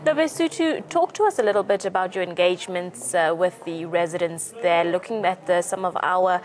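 Lower-pitched South African woman news anchor. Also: brisk. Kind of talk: live news presenting. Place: TV news studio.

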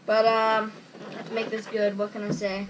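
A man's voice: high-pitched, monotone, moderate pace, far from the mic. A teenage boy with an American accent chatting casually to viewers in a rambling, slangy way. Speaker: vlogger. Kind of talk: casual vlog chat to viewers. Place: small bedroom with bunk bed, indoors. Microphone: camera microphone a short distance away. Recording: noisy camera microphone in a room.

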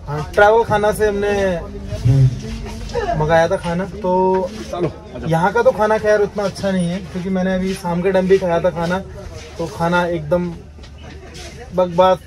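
A man's voice: high-pitched, little pitch movement, measured pace, long pauses, poor audio. A man in his thirties chatting to camera as a travel vlogger, filming himself with a phone at arm's length inside a train coach. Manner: chatty, calls himself "your brother", addresses viewers as guys. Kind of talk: casual vlog narration to camera. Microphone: phone held at arm's length, selfie framing.

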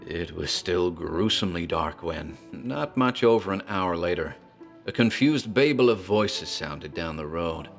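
A man with a very deep, low voice tells a horror tale slowly and ominously. Faint music plays beneath his narration. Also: a bit bright. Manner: slow, measured, ominous storytelling.

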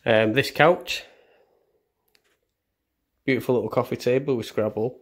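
A man's voice: medium pitch, fairly even intonation, a little slow. A young man giving a casual house tour, chatty and self-deprecating, as he films on a handheld phone. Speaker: vlogger. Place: indoors, walking through rooms of a house. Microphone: handheld phone camera.

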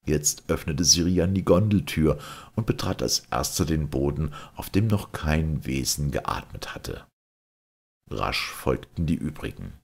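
A man narrating a literary audiobook in a deliberate, dramatic storytelling manner. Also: very deep voice, animated, gravelly, darkish tone, breathy edge.

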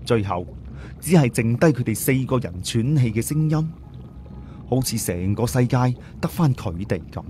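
A man's voice: mid-range voice, lively intonation, normal speed, warm tone, a little hiss or hum. A man with a Cantonese accent narrating an audiobook story in a colloquial way, with conversational asides.

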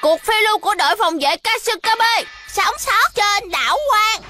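A woman voicing a bossy, excitable little girl in a dubbed cartoon, speaking insistently. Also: extremely high pitch, normal speed. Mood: excited. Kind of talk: dubbed children's cartoon acting. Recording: music behind the voice.

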